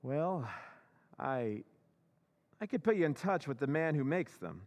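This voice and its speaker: An actor playing a smug, self-assured scheming husband in an old-time radio drama recreation. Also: male voice, fairly high pitch, very animated, unhurried, a little mellow, big-room sound.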